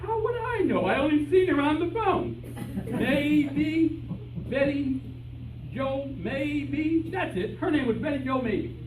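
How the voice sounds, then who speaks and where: A man's voice: extremely high pitch, expressive, slow pace, heavily acted. A man playing a boastful, muddled private detective in an old-time radio style comedy. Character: bungling, cocky private detective man seeking his canary. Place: live rehearsal in a room with audience. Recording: distant echoey room recording, noisy.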